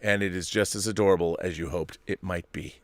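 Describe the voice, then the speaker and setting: A man's voice: sits low, fairly even intonation, a little fast, no room sound. A middle-aged man hosting a live stream, reading viewer messages and rambling through opinions with many restarts. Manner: rambling, self-interrupting, opinionated. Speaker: host reading viewer super chats. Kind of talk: casual live-stream commentary.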